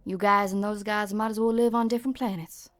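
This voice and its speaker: A woman playing a brusque, sharp-tongued frontier woman in an amateur game fan dub, blunt, slangy and scornful. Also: mid-range voice, fairly even intonation, a little fast, dry recording, faint music behind, natural voice. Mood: dismissive, cynical.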